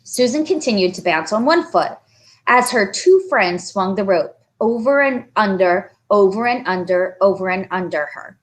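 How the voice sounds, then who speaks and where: A woman narrating a children's book aloud, expressive and carefully phrased, as a storyteller. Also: medium pitch, normal speed.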